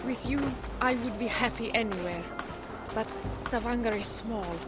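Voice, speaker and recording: Devoted, ambitious female Viking weaver, acted by a woman, composed and pointed, fairly high voice, game drama over music. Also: normal speed, close to an everyday voice. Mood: wistful. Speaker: video game character. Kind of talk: dramatic game cutscene acting. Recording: music behind the voice.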